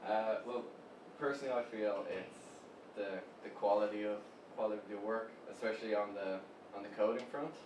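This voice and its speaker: A man's voice: fairly low pitch, fairly quick, hardly any pauses. A young man with an Irish accent, a guest speaking casually in an interview, easygoing and hesitant with many fillers. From Ireland. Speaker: guest, web agency co-founder. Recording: roomy, distant mic with background noise.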